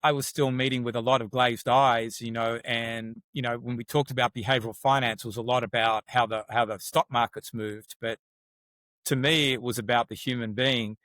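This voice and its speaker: A man's voice: mid-range voice, fairly even intonation, normal speed, fairly bright tone, dry recording. A middle-aged man with an Australian accent, hosting a conversational interview and often restarting and repeating words. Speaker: podcast host.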